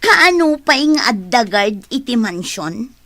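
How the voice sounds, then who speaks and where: Radio drama casting: a prying, challenging woman, very animated delivery, dry studio sound. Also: fairly high pitch, slow pace, no room sound, heavily acted. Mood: incredulous. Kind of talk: Ilocano radio drama acting.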